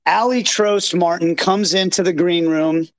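A hoarse man from Kansas City hosting a live post-match reaction, talking in an excited, rambling, self-deprecating way from a green room backstage. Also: sits high, unhurried. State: hoarse from yelling. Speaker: podcast host. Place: green room behind an outdoor stage. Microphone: phone.

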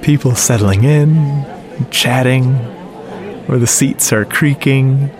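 A man's voice: mid-range voice, slow pace, darkish tone, some chatter behind, close to an everyday voice. An actor playing a lovestruck, self-deprecating geek, narrating his story in a confiding, earnest way in a radio drama. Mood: eager, reflective. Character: lovestruck, self-deprecating, geeky male sound-effects artist. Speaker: narrator and lead character. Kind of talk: first-person radio drama narration, conversational. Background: music under the voice.

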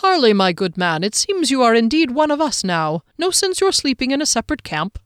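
A smug halfling thief from a fantasy storybook, acted out by a woman narrator. He speaks in pompous, dignified, wordy phrases, lifted in pitch and recorded close and dry. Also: big pitch swings, a little fast, clear, resonant tone, dry recording. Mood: genial, grand. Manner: pompous, verbose, formal diction.

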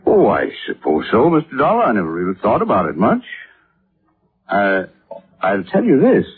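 A reflective American physician in an old radio drama. His low, husky voice swings widely in pitch as he weighs his words. The recording is muffled and narrow, like vintage radio. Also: wildly swooping pitch, full character voice. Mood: considering. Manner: deliberate, reflective, hesitant asides. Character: thoughtful, educated male physician, measured and reflective. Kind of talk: 1950s radio drama acting.

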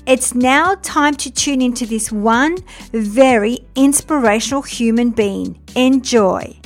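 An enthusiastic woman hosts a podcast, reading her introduction from a script and then asking eager questions. Her voice sits a little high, and faint music plays beneath her. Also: takes it slowly. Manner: upbeat, enthusiastic. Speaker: podcast host.

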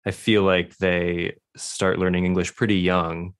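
A man's voice: deep voice, level tone, a little slow, smooth. A man with an American accent, interviewing in a casual, informal and slightly rambling conversational way. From United States.

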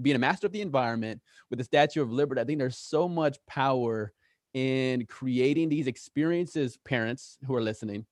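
An enthusiastic man hosts a podcast interview at a middle pitch. He talks with eager energy and often doubles words as he works toward a point. The sound is dry and close, with no room behind him. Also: dry recording. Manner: enthusiastic, repeats words when excited. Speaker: podcast host.

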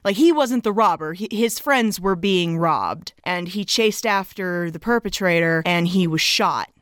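A woman's voice: fairly low pitch, a little slow, fairly bright tone, dry recording, studio quality. A woman co-hosting a podcast, recapping a movie in casual, earnest chat. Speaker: podcast co-host.

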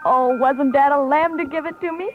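A woman's voice: high voice, fairly even intonation, normal speed, narrow, tinny audio, over music, natural voice. An actress playing a playful, gushing young woman in a 1930s radio advertising skit. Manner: breezy, gushing.

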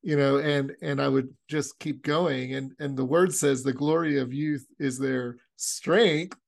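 Host, man, late 40s; mid pitch with strong vocal fry, earnest and reflective. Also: fairly even intonation, measured pace. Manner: earnest, musing, soft affirming murmurs. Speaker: podcast host. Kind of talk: conversational interview.

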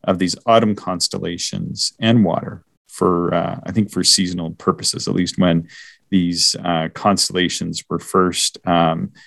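A man's voice: sits low, flat delivery, a little slow, vocal fry, a bit bright, dry recording. A man co-hosting a casual astronomy podcast, rambling with many fillers and restarts. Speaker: podcast co-host.

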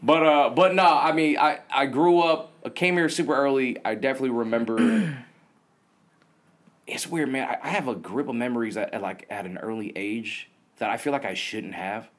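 A man's voice: medium pitch, moderate pace. A man born in Vietnam, talking casually and telling stories in a laid-back, slangy way that often breaks into laughter. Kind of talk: casual chat.

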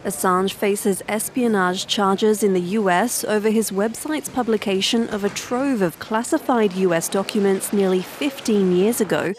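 A woman's voice: medium pitch, moderate pace, hardly any pauses, slight background noise. A woman news reporter reading a scripted broadcast report.

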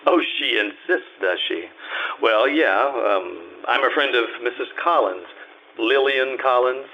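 A man's voice: medium pitch, a little slow, a little hiss or hum, lightly acted. A man playing a wry hard-boiled detective. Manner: dry and wisecracking. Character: wry, tough male private detective. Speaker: narrator and lead. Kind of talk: live old-time radio play. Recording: dull lo-fi with loud music behind.

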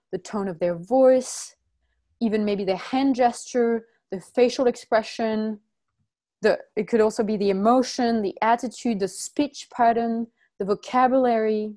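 Woman, from France, workshop facilitator, halting, frequent restarts, explaining slowly. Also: a little high, dark tone. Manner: halting, many restarts and self-corrections. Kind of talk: teaching a group, reading from notes.

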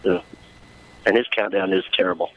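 A man's voice: deep voice, steady tone, fairly quick, lo-fi sound. A man chatting casually and giving opinions as a radio panelist.

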